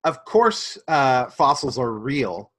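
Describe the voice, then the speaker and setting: A man playing an earnest, deadpan conspiracy believer who explains his theories in a halting way. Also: mid-range voice, full character voice. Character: earnest conspiracy-minded man, flat-earther. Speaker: guest. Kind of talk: improvised comedy character.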